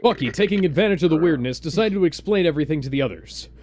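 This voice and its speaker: Male stream host, slightly high register, hammy comic reader and chatty, exclaiming presenter. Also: lively intonation, fast, darkish tone, no echo. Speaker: livestream host. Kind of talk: dramatic comic read-aloud and casual livestream banter.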